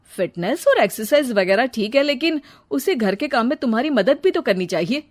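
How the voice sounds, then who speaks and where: A stern, impatient young husband voiced by a woman in a cartoon family tale. The voice is fairly high and full of grumbling. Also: animated, a little fast. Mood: concerned.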